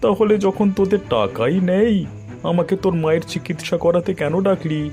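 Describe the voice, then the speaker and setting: A man voices a village doctor in a cartoon, a little high in pitch. He is stern, blunt and money-grubbing, pressing every point over light background music. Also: animated, a little fast, mellow. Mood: demanding. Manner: blunt, insistent. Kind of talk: Bengali cartoon voice acting.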